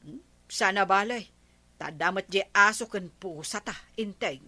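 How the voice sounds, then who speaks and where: Woman acting a bright-voiced, patient village wife. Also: medium pitch, a little slow. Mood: practical. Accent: Ilocano. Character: patient village wife soothing her husband. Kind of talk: Ilocano comedy radio drama acting.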